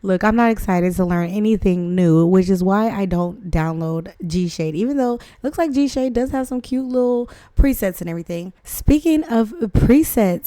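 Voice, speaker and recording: A young woman with a hoarse voice from the flu, chatting casually as she narrates a game tutorial over gameplay. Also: medium pitch, mellow, no echo. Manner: chatty, rambling, self-deprecating. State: hoarse from flu, voice not back. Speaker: gaming channel host.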